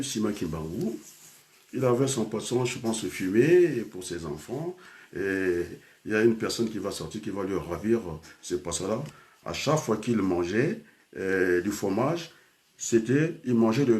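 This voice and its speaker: A man's voice: lowish voice, darkish tone. An older man from Congo, a teacher and trainer, speaking in a rambling personal talk full of hesitations and switches into Lingala.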